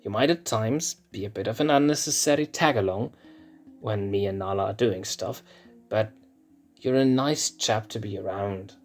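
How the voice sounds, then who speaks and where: A man voicing a brash, defensive young male lion cub prince with a blunt, gruff way of speaking. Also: on the low side, moderate pace, soft background music, lightly acted.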